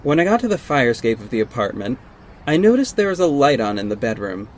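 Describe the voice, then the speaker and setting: A man's voice: medium pitch, big pitch swings, a little hiss or hum, close to an everyday voice. Guarded, sardonic male vigilante, played by an actor. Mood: wry, brooding. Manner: measured, terse, exasperated. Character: guarded, sardonic costumed vigilante, heartbroken and stubborn. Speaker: narrator and lead actor. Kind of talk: first-person audio drama narration and dialogue.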